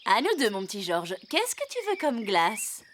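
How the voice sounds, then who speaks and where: A woman's voice: mid-range voice, huge pitch swings, moderate pace, a bit bright, a little hiss or hum. A woman voicing a friendly, chirpy, obliging rabbit who sells ice cream in a children's cartoon. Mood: chirpy, helpful.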